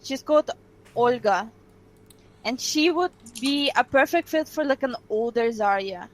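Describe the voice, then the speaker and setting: A woman with a high-pitched voice takes part in a casual group discussion. She is insistent and opinionated, stating her view plainly as one of the podcast's panelists. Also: expressive, unhurried, low-quality recording.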